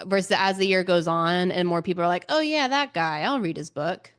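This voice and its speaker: A woman's voice: on the low side, a little fast, clean tone, dry recording. Casual woman podcast co-host, close dry sound. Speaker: book club podcast co-host. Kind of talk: casual book chat.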